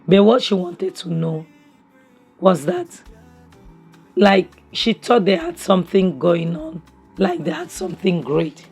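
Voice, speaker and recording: Lady reviewing a show at home, voice gone raspy from a cough, chatty and opinionated, lots of "you know". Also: mid-range voice, a little mellow. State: hoarse from a cough, losing her voice. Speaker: series review vlogger. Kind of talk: casual spoken review recap. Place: home, near a kitchen appliance.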